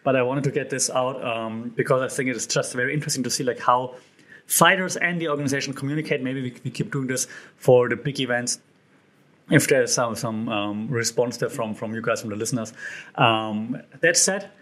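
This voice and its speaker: A man hosting a solo podcast, talking in a casual, chatty way with lots of fillers and restarts, his voice a bit hoarse. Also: mid-range voice, a little fast, a bit bright. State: slightly hoarse. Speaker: podcast host.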